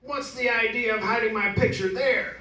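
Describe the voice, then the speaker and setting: A man's voice: very high voice, normal speed, pushed and bright. An actor playing a blunt, bossy, wealthy middle-aged father in an amateur old-time radio drama. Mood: indignant. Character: blunt, wealthy, brash father who bought an old house. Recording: echoey room, distant microphone.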